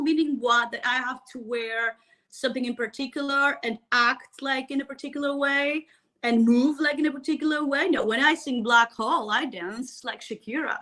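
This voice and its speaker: A woman's voice: high voice, bright, forceful tone. A woman from Greece with a Greek accent, a metal singer chatting as a guest in a live interview, laughing often and talking with plenty of fillers. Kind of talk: casual live-stream interview.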